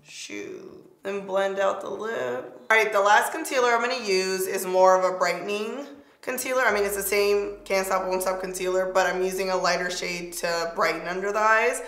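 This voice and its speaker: A young woman talks her way through a makeup routine in a loose, playful way, her voice slightly hoarse and squeaky. She sits close to the camera in a small home studio. The sound carries a noticeable room echo. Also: steady tone, normal speed, a bit bright. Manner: chatty, playful, talks while applying makeup. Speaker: beauty vlogger. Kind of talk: casual makeup tutorial chat. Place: home studio, pink backdrop.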